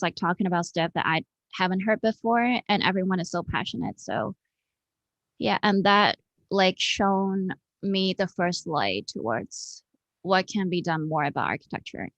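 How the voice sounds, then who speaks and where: Low-pitched woman from Hong Kong, closely recorded. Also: a bit bright, dry recording. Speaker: interview guest, architect and researcher. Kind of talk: conversational interview answer. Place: remote online video call.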